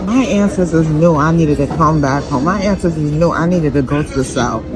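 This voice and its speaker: A woman's voice: low voice, normal speed, music in the background. A woman giving casual travel vlog commentary in a blunt, slangy, profane way. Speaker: travel vlogger and podcast host.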